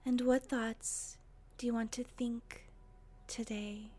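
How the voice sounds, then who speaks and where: A woman leading a guided meditation in a gentle, breathy voice with long, unhurried pauses. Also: on the high side, flat delivery. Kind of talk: guided meditation, soothing narration.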